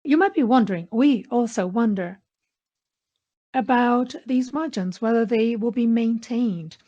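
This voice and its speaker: Dull-sounding, higher-voiced woman interpreting. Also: measured pace, warm tone. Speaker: interpreter voicing conference call speakers. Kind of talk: simultaneous interpretation of a corporate earnings call. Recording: dull, lo-fi conference line audio.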